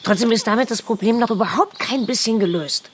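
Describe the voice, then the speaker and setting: A woman's voice: on the high side, brisk, natural voice. An actress playing an anxious, sarcastic mother in a naturalistic radio drama, biting and insistent. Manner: biting, insistent, repeating herself. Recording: faint background ambience noise.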